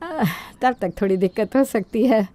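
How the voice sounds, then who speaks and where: A woman's voice: on the high side, expressive, moderate pace, mellow, natural voice. A woman voicing a greedy, sly middle-aged mother-in-law who feigns modesty in a sugary, wheedling way for a Hindi cartoon story. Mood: gushing, coy.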